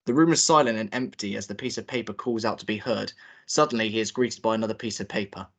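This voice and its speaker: A man's voice: lowish voice, quick pace. A young man informally directing a table read and narrating stage directions, a little hesitant. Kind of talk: informal hosting and script narration.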